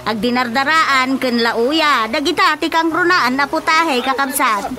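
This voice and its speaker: A high-pitched, fretful village woman played by an actress chatters expressively in a northern Philippine accent. It is exclamatory radio drama comedy acting, with music clearly playing behind her. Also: measured pace. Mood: bustling, welcoming.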